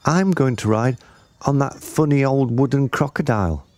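A man voicing eager, excitable young children in a storybook. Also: mid-range voice, moderate pace, pitched above the performer's own voice, close to an everyday voice. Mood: excited.

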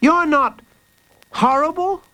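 A man's voice: very high-pitched, takes it slowly, bright, forceful tone, voice pushed up, exaggerated voice. A man voicing a small, stubborn, bold young boy in an earnest storybook reading. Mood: defiant. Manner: earnest, defiant, repeats himself.

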